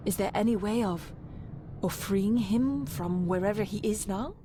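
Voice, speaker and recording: A woman playing a blunt, sceptical but loyal companion in a 1920s mystery video game, with clear ambient noise. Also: mid-range voice, unhurried, natural voice. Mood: blunt, concerned.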